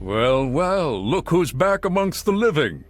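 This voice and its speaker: An actor voicing a gruff veteran soldier giving clipped, no-nonsense orders in a video game. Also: male voice, on the high side, sing-song, measured pace, soft background music. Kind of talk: video game cutscene dialogue.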